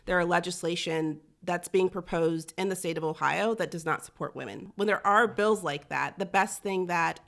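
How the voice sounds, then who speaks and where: A woman's voice: on the low side, moderate pace, creaky, hardly any pauses, a bit bright. An American woman speaking as an advocate and interview guest, earnest and articulate in conversation.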